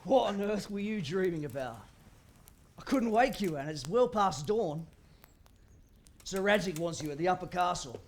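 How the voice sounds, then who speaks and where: A woman's voice: lowish voice, animated, normal speed, long pauses, slight background noise. A woman playing a cheeky, down-to-earth young commoner in a game drama, speaking naturally and informally. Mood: brisk. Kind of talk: naturalistic game cutscene acting.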